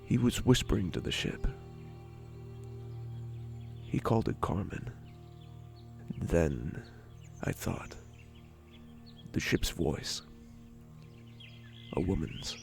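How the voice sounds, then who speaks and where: A reflective inventor, played by a man, recalls the past in a quiet, slow narration. His voice is very deep and gravelly, rising and falling widely. Soft music runs underneath. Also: very animated, exaggerated voice. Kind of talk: fan audio drama narration.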